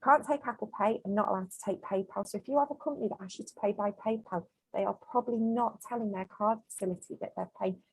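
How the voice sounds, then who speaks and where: A woman's voice: mid-range voice, fast, few pauses, a little mellow, breathy edge, no echo, rough recording. A woman in her forties from the UK, a business owner talking frankly and emphatically as an interview guest. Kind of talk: casual interview chat.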